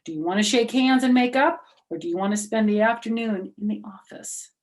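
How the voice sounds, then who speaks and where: A woman's voice: fairly low pitch, normal speed, darkish tone, lightly acted. Lady playing a strict teacher guy, fussy and firm, corrects every word. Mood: stern. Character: stern fussy male teacher.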